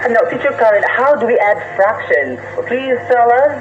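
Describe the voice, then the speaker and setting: A man's voice: very high-pitched, sing-song, moderate pace, over music. A man with a Filipino accent hosting a live radio lesson in an articulate, instructive, polite way. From Philippines. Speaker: radio lesson host and teacher. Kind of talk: live educational radio hosting, scripted banter. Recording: muffled noisy radio broadcast audio.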